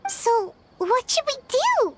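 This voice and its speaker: A woman voicing a tiny, childlike, excitable fairy companion in a video game, with a squeaky, chatty delivery. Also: takes it slowly, noisy background, exaggerated voice. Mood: puzzled. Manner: exclaiming, refers to herself by name.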